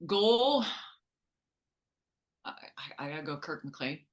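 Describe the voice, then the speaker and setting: Woman, lowish pitch, excitable, joking, casual sports chat, podcast guest. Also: slow pace. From Canada. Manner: excitable, animated storytelling, joking.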